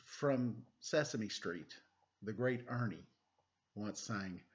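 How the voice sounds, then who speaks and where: A man's voice: lowish voice, expressive, takes it slowly. A man in his forties hosting a children's storytime at home, reading a picture book with playful, chatty asides. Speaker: storytime host and reader. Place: room at home. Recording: dull lo-fi webcam sound.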